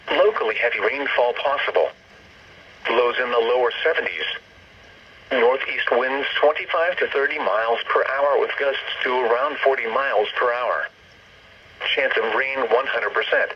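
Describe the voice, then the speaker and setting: A computer-generated male voice reads a weather bulletin over the radio. It sits at a middling pitch and moves methodically through each item. The sound is muffled and lo-fi, with a light layer of noise. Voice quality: synthetic text-to-speech voice. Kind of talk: scripted weather forecast bulletin.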